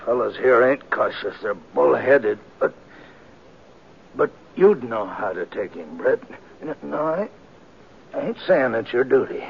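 Hurt old-west sheriff, slow weak creaky voice, pleading, halting, muffled radio drama. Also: male voice, medium pitch, lively intonation, heavily acted. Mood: weary warning. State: weak, labored, halting speech. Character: wounded small-town western sheriff, weary, pleading. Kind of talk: 1950s radio western acting.

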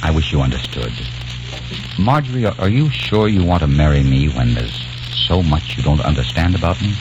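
A deep, earnest young American man narrates his own strange tale with lively rises and falls. The recording is a muffled 1940s radio broadcast with music underneath. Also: moderate pace. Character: young American man, dreamy, obsessed with the past. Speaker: lead actor and narrator. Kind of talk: 1940s radio drama acting. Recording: dull old radio recording.

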